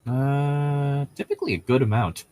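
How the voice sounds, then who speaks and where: A man co-hosting a casual chat, rambling through stories in an excitable, profane and laughter-prone way. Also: medium pitch, normal speed.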